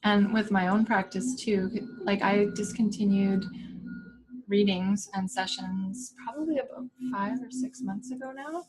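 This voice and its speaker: A woman's voice: mid-range voice, measured pace, noisy background. A woman hosting a casual interview, talking chattily with many "like"s and playful quoted asides. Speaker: interview host.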